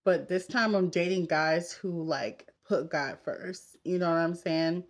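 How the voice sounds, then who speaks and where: A woman's voice: fairly low pitch, a little slow. A woman in her thirties chatting casually and candidly to her viewers, as in a get-ready-with-me vlog. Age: 30s. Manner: candid, conversational, talking straight to women viewers. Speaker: vlogger. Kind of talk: casual confessional chat while getting ready.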